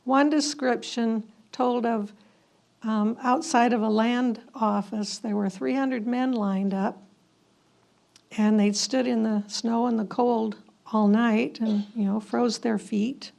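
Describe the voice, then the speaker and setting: Female history presenter, gravelly and fairly high-pitched, informal, slightly hesitant explanatory talk. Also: fairly even intonation, slow pace, darkish tone. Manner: hesitant, with frequent throat clearing. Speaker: presenter commenting on historical letters. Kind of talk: informal historical narration to an audience.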